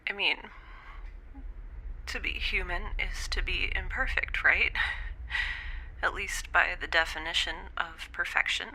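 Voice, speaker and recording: Halting, wry young woman scientist. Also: lowish voice, pushed and bright, slightly rough, exaggerated voice, poor audio. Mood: guilty, subdued. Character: stranded young woman scientist, imprisoned, wry, anxious, self-deprecating. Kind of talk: audio drama monologue, recorded log entry.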